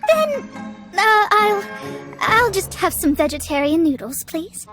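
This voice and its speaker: Haughty young astrologist girl, game voice, high and formal, music in back. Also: very animated, slow, fairly bright tone, exaggerated voice. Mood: flustered. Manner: pompous, formal, theatrical. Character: proud young female astrologist, pompous, secretly short of money. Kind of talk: scripted video game acting.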